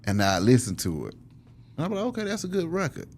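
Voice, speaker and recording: A man hosting a casual conversational interview, animated and slangy, chiming in with encouragement. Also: a little high, fast talker. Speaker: interviewer, podcast host.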